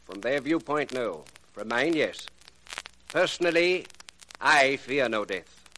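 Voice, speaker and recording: Cold intellectual villain, vintage radio crime drama. Deliberate, pompous delivery, medium pitch, light surface noise. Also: male voice, lively intonation, bright, forceful tone. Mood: coolly menacing. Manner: pompous, deliberate, ornate diction. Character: cold, erudite male extortionist crime boss called professor. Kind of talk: 1940s radio acting. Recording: noisy, crackly old radio recording.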